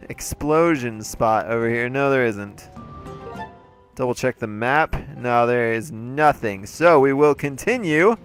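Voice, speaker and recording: A man's voice: mid-range voice, animated, takes it slowly, faint music behind. A man giving playful, chatty commentary on a video game he is playing, with a slightly congested voice. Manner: playful, chatty, jokey asides. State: congested, throat a little off. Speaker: let's play host. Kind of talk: casual gameplay commentary.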